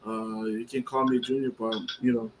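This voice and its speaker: A man from American Samoa introducing himself casually and haltingly as a newcomer, with some background noise. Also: medium pitch, little pitch movement, low-quality recording. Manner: hesitant, halting. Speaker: new business member, guest.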